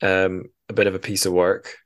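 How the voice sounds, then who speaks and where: A man's voice: sits low, little pitch movement, moderate pace. A man hosting a podcast, interviewing a guest in a casual, conversational way.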